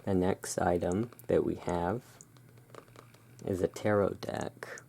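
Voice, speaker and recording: Slow, low, creaky ASMR shopkeeper voice. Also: flat delivery, a little hiss or hum. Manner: slow, deliberate, hushed sales patter. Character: courteous owner of a dark gothic curiosity emporium. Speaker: roleplaying shop owner, ASMR host. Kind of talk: soft-spoken ASMR roleplay.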